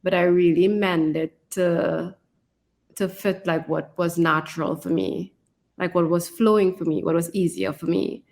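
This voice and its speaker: A woman's voice: lowish voice, fairly even intonation, unhurried, a little mellow. A woman talking conversationally as an author and co-host, in a reflective way and often adding "you know". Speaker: fantasy author and podcast co-host.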